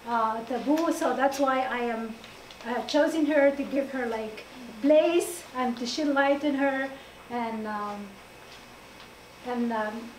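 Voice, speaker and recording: Brief: high-voiced woman from Morocco, hesitant and laughing speaker, picked up from a distance. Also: a little mellow. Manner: halting, many fillers, laughs often. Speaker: guest presenter. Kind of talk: informal talk to an audience. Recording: echoey room, distant mic, faint noise.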